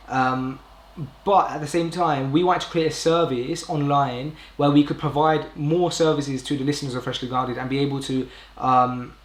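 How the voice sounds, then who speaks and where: A young British man hosting a casual live stream, talking informally in a rambling way and often correcting himself. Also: mid-range voice. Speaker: podcast host live streaming. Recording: roomy indoor echo.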